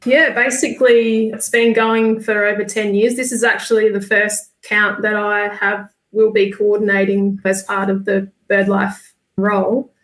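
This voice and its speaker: A woman's voice: mid-range voice, flat delivery, a little slow, fairly bright tone. A woman with an Australian accent giving informal, explanatory answers in a casual livestream interview. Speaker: volunteer bird count coordinator. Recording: roomy, echoey sound.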